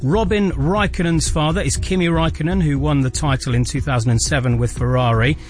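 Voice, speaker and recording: A man's voice: fairly high pitch, a little fast, a little mellow, soft background music. A man reading a scripted sports news bulletin on the radio. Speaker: sports newsreader.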